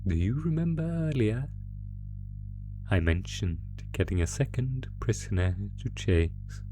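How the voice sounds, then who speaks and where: A man voicing a sadistic, theatrical monster who taunts a prisoner in a horror roleplay, talking with drawn-out pauses. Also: lowish voice, lively intonation, music in the background. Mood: smug, mock-sweet. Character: sadistic, gloating male monster stalking its prisoner through a maze. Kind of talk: ASMR horror roleplay audio drama.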